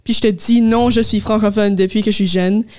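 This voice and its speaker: A woman's voice: mid-range voice, steady tone, fairly quick, darkish tone. A young woman from Moncton with a Chiac Acadian accent, chatting casually as a podcast co-host and slipping English words into her French. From Moncton, New Brunswick. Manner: mixes English words into French, many fillers. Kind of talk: casual chat.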